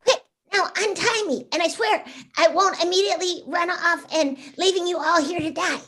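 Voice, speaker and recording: Ruthless little rodent girl, squeaky, extremely high, sharp bright tone, amoral, cartoon. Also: moderate pace. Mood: urgent, sly. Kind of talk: table read of animated comedy script.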